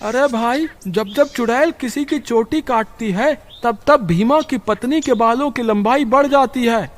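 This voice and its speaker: A man playing a suspicious, gossiping villager in a horror cartoon, with an accusing, drawn-out delivery. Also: extremely high pitch.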